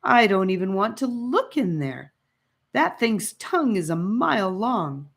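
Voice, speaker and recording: A woman's voice: mid-range voice, big pitch swings, a little slow, gravelly, breathy edge, pitched above the performer's own voice, heavily acted. A woman playing a frightened, reluctant boy in an audiobook adventure, sounding nervous and unsure. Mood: fearful, reluctant.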